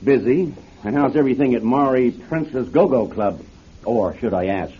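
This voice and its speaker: An actor playing a wry, needling vice squad detective in a radio drama. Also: male voice, medium pitch, lively intonation, moderate pace, slightly rough. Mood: sardonic. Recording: dull lo-fi tape with faint noise.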